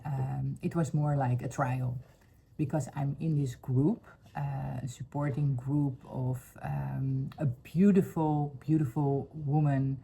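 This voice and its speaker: A woman with a Dutch accent, speaking earnestly to camera at home in English, often pausing to search for words. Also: very deep voice, creaky, breathy edge, poor audio. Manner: searching for words, many fillers, soft and earnest. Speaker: vlogger. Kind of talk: personal heartfelt talk to camera. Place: home room with a couch.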